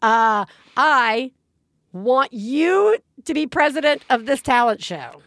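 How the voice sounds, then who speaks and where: A woman's voice: sits high, sing-song, takes it slowly, fairly bright tone, no echo. Giggly Southern woman host. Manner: rambling, laughs often, self-mocking. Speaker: podcast host. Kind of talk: casual chat.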